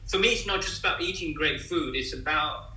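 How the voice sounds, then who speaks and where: A man's voice: a little high, brisk, bright, forceful tone, roomy sound, rough recording. A man born in China and raised in the UK, an agency founder chatting as a panel guest in a friendly way with many fillers. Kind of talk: casual panel chat.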